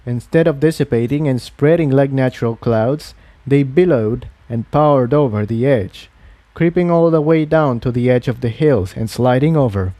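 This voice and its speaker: A man's voice: medium pitch, mellow, no room sound. A man reading a fantasy adventure story aloud as a scripted narrator. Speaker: narrator, fan fiction reader.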